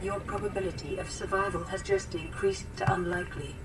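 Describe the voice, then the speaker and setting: A woman voicing a calm female computer assistant in a video game, making measured, flat announcements. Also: mid-range voice, creaky, far from the mic. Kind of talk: scripted game voice lines. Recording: game audio with roomy echo, music behind.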